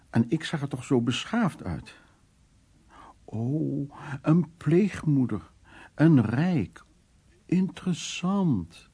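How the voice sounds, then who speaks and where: An actor playing a cynical, worldly man who recounts his past in a wry, coarse radio drama monologue, with a raspy voice. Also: mid-range voice, warm tone, full character voice.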